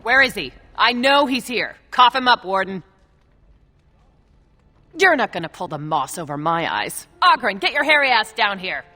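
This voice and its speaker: An actress playing a feisty, scornful dwarf woman in a video game, with a sharp, scolding manner. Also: medium pitch, big pitch swings, moderate pace, faint music behind. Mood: demanding. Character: feisty, scornful female dwarf, exasperated partner. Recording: long hall reverb.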